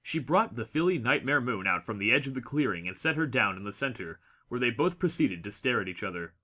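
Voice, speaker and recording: A man narrates a story in a medium-pitched voice with a bright, forceful edge. His delivery is scripted and expressive, like a dramatic audiobook. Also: brisk.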